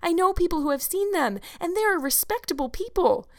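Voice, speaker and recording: Woman voicing an eager, high-voiced, imaginative young girl. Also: brisk, fairly bright tone. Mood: earnest, insistent. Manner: effusive, dramatic, breathless storytelling.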